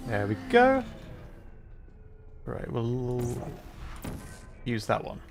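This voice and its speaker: A man chatting casually on a livestream while commenting on his gameplay, often thinking aloud haltingly. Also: medium pitch, wildly swooping pitch, vocal fry. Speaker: livestreamer playing a game. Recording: faint background noise, game ambience.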